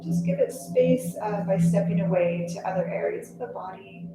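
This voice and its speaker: A woman guiding a meditation as a yoga nidra instructor, speaking gently and leaving unhurried pauses between phrases. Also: medium pitch, sing-song, background noise. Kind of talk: guided meditation. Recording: echoey, distant sound with loud music. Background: loud music.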